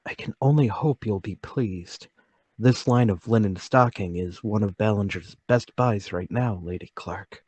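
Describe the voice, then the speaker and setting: Casting: male actor for anxious, courteous salesman in radio drama; husky, unhurried, deferential delivery. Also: on the low side, a little mellow, dry recording. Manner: deferential, hesitant. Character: meek, polite, anxious little salesman. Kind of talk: radio play table read.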